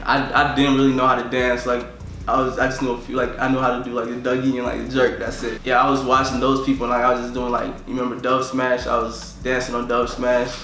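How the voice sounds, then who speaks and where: A man's voice: mid-range voice, monotone, fast talker, fairly bright tone, echoey room, a little hiss or hum. A young man from Tanzania, a musician talking casually in an interview and filling nearly every phrase with "like".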